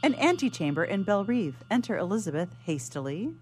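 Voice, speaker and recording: A woman's voice: mid-range voice, very animated, brisk, over loud music. A woman narrator reading scripted stage directions for a radio drama.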